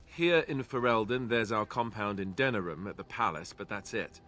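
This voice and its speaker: A man voicing a young male warrior companion who talks in a plain, steady, slightly glum way. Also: on the low side, normal speed. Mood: resigned.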